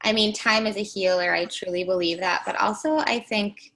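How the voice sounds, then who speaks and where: A woman in her twenties co-hosting a podcast, bubbly and upbeat, with a high voice, chatting casually. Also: steady tone, normal speed, a bit bright, slight background noise. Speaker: podcast co-host. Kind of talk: casual chat.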